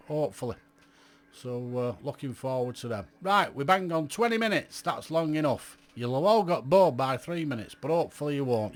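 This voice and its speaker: A middle-aged man, talking to viewers in a blunt, chatty, rambling vlog about his amateur radio hobby and asking them many questions. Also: mid-range voice. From England. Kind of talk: casual vlog monologue to viewers.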